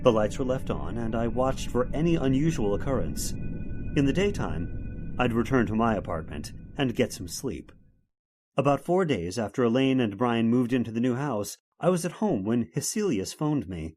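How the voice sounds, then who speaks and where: A man's voice: medium pitch, big pitch swings, normal speed, darkish tone, faint music behind, close to an everyday voice. A man acting as an earnest, dramatic hero and narrator of an old-time radio horror tale. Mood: somber narration. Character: earnest man recounting his supernatural ordeal.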